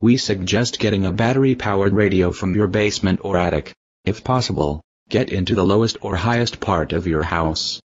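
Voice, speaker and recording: A man's voice: low-pitched, a little fast. A man reading a scripted mock civil danger warning as an alert announcer. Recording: dull lo-fi audio, faint noise.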